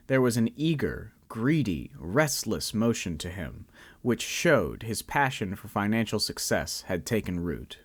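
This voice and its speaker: A young man narrating a student radio drama and then speaking casually to the audience with light humor. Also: fairly low pitch. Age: young adult. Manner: storytelling, lively, lightly humorous aside.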